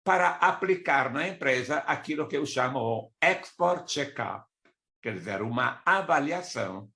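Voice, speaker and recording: A man's voice: medium pitch, creaky, bright, forceful tone. An older man from Italy with an Italian accent, a native Italian speaker explaining business matters in a didactic way as a consultant giving a presentation. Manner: didactic, with asides and listed examples. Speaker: export consultant giving a talk.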